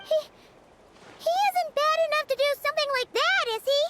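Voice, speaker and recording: Squeaky, childlike little companion creature. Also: female voice, brisk, pushed and bright, a little hiss or hum. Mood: worried. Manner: wide-eyed, questioning. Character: small childlike companion creature, curious and easily alarmed. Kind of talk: video game voice acting.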